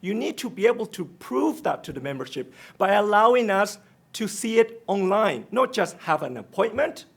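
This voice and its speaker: A man's voice: high-pitched, big pitch swings, moderate pace, vocal fry, breathy edge. A man from Taiwan with a Taiwanese accent, speaking as a union treasurer candidate in a debate, direct and pointed. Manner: non-native phrasing, direct and pointed. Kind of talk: debate statement.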